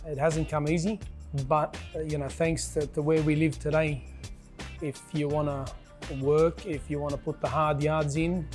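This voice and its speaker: Male business manager, interview testimonial, halting delivery, flat intonation, music bed underneath. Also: a little high. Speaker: general manager of a tyre business. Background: background music.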